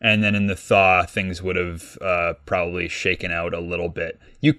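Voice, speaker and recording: A man's voice: sits low, little pitch movement, normal speed, bright, forceful tone, clean tone, no echo, clean studio sound. A man co-hosting a podcast, explaining history casually with many fillers and restarts. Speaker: podcast co-host, guest expert.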